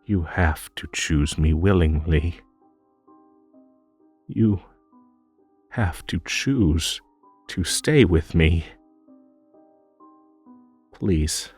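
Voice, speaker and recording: Man acting a slow, possessive magical bunny. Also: low voice, darkish tone, slightly rough, exaggerated voice. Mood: wistful, pleading. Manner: slow, coaxing, hypnotic, drawn-out soothing delivery. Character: possessive yandere Ether Bunny, male magical creature, sweetly menacing. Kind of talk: intimate scripted roleplay audio with hypnosis. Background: music.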